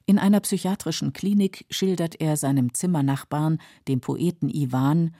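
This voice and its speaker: A woman reads scripted documentary narration in a low, mellow voice. She is measured and factual, articulating carefully, and the recording is dry and close. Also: no room sound.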